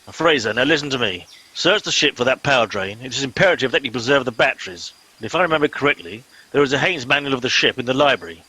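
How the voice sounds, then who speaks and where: A man's voice: medium pitch, lively intonation, quick pace, few pauses, sharp tone, slightly rough, poor audio. A man playing a pompous, exasperated starship captain in a comic radio play. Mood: commanding. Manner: pompous, commanding.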